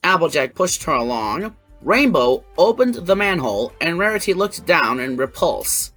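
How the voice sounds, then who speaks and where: A woman's voice: on the low side, big pitch swings, normal speed, a bit bright. A woman narrating a scripted fan fiction story. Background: background music.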